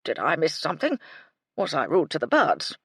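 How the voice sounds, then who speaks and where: A woman's voice: deep voice, wildly swooping pitch, moderate pace, fairly bright tone, husky, no room sound, studio quality, heavily acted. A woman voicing a befuddled old retired army colonel, elderly and blustering in clipped, bumbling phrases.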